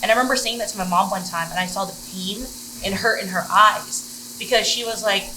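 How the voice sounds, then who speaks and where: A woman's voice: mid-range voice, a little fast, bright, forceful tone. A young American woman telling personal stories casually and earnestly, peppering her sentences with "like". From Middleburg, Florida, United States. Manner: earnest, heavy use of "like". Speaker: guest, social media content creator. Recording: roomy, noisy room with sizzling food.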